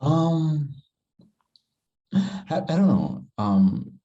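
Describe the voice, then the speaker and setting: A man from Kenya, a writer talking casually as a podcast guest in a halting, thoughtful way full of fillers. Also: fairly high pitch, animated, dark tone, highs cut off. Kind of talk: casual conversation.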